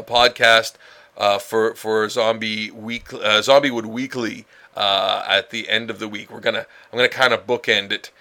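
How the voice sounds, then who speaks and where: A man's voice: mid-range voice, pushed and bright, smooth. A man hosting a casual solo podcast, rambling with many fillers and restarts, a little stuffed up from a head cold. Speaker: podcast host.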